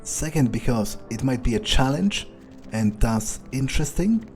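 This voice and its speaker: A man thinking aloud in character during a video game playthrough, halting and matter-of-fact, with casual swearing. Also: mid-range voice, very animated, a little mellow, soft background music. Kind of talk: in-character musing aloud, thinking through events.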